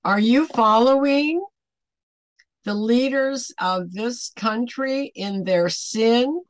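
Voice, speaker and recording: A woman in her seventies teaching a Bible devotional to camera at home, earnest and deliberate, putting emphatic questions to the listener. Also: fairly high pitch, no room sound. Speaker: online Bible study host. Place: small home room, indoors.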